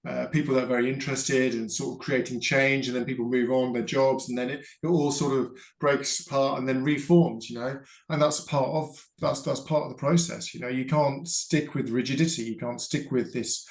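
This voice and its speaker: A man's voice: mid-range voice, steady tone, a little fast, hardly any pauses, roomy sound. A man sharing experience on a video call at a book launch, speaking thoughtfully with many hedges and sort-ofs. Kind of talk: online book launch discussion.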